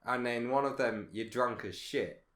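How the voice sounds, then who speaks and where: Young male co-host, mid-pitched, nasal from a cold, understated delivery. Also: moderate pace, a bit bright, reverberant. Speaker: podcast co-host. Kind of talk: casual chat.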